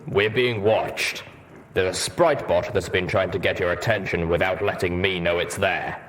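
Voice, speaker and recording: A man voicing a stern, gruff armored stallion soldier in a story reading. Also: low voice, steady tone, normal speed, a bit bright, slight background noise. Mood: wary.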